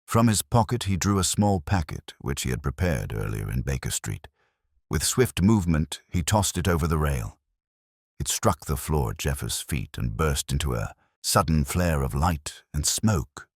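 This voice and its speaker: A man narrating a detective story from a script in a measured, solemn, dramatic manner. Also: very deep voice, big pitch swings, dry recording, clean studio sound. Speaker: audiobook narrator.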